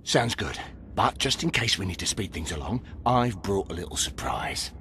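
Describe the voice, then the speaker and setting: An actor with a British accent playing a blunt, cocky rogue in a video game. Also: male voice, sits low, moderate pace, a little hiss or hum. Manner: animated, blunt. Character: cocky British male rogue, gruff mercenary. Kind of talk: video game cutscene acting.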